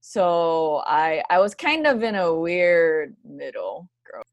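A young woman from Hawaii, a graduate student, talking in a friendly, relaxed way as a guest over a video call. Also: on the low side, slow, dry recording.

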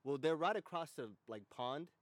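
A man's voice: fairly high pitch, animated, moderate pace, no echo. Slangy, profane American man bantering, dry sound. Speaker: podcast host. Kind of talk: casual comedic banter.

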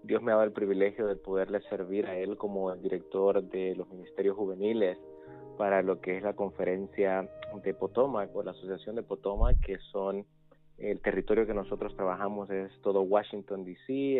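A man in his thirties with a low voice, talking as a guest in a casual interview. He repeats words as he searches for phrasing. The sound is muffled and narrow, with faint music underneath. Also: flat delivery, fairly quick. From El Salvador. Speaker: interview guest, youth ministries pastor. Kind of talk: casual spoken testimony.

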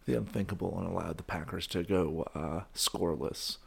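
A young man with a very low, gravelly voice that is hoarse from shouting the day before. He chats casually and goofily as a podcast host, rambling and restarting his sentences. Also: steady tone, moderate pace. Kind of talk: casual chat.

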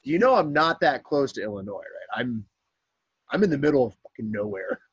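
A man's voice: mid-range voice, very animated, fairly quick, slightly rough, no echo, muffled recording. A man in casual podcast chat, joking crudely, calling in from a camper trailer. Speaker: podcast guest.

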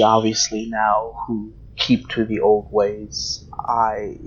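Man playing a solemn keeper of old ways. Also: lowish voice. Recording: dull, noisy hobby microphone.

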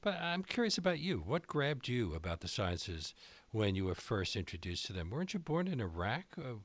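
A man hosting a radio interview, asking measured questions with small hesitations. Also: medium pitch, sing-song, fairly bright tone, no room sound. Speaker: radio host.

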